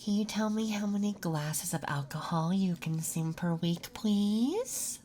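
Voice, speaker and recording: A soft-spoken ASMR performer playing a gentle, calming nurse, speaking in a soothing, unhurried way during a roleplay intake. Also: mid-range voice, a little hiss or hum.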